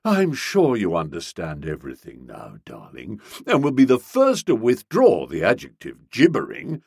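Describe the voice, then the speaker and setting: Man playing a flustered posh English chap, swooping pitch. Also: wildly swooping pitch, normal speed, gravelly, a little mellow, breathy edge, no room sound, clean studio sound, heavily acted. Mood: flustered, placating. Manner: pompous, wordy, upper-class slang. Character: flustered, self-justifying young English gentleman writer, verbose and affectionate.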